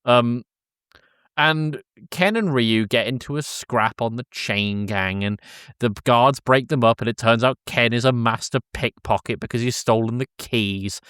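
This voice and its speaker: A man co-hosting a podcast, casually recapping a film in a deadpan, exasperated comic manner. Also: mid-range voice, measured pace, clean tone, no echo, studio quality. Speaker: podcast co-host.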